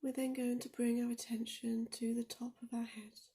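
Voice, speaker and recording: A woman guides a body scan meditation in a mellow, relaxed voice with a creaky edge. Her delivery is soft and soothing, often sinking close to a whisper, and she leaves gentle pauses between phrases. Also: a little high. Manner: slow and deliberate with gentle pauses.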